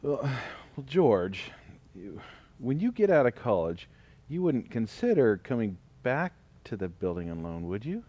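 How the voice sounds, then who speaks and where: A man playing a tired, gentle older father in a radio drama, with halting, sighing fatherly talk. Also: medium pitch, big pitch swings. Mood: resigned, hesitant. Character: tired, gentle older father, patient small-town businessman.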